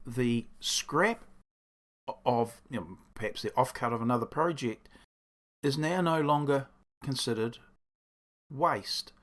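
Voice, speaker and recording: Man, croaky voice, mid-range pitch, casual workshop talk, rambling, self-correcting. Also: a bit bright. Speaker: woodworking channel host. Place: home workshop.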